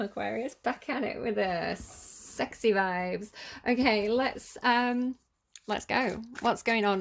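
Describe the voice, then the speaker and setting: A woman's voice: medium pitch, a bit bright, slight background noise. A woman with a Manchester accent giving a casual, chatty tarot reading to viewers, informal and hesitant as she thinks aloud. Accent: Manchester English. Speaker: tarot reader, channel host.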